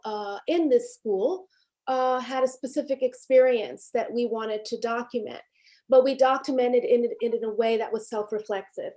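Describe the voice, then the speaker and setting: A woman from Haiti in her fifties, a documentary filmmaker speaking on a panel over a video call, thoughtful and halting, with many fillers and restarts. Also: fairly high pitch, darkish tone. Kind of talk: reflective panel discussion.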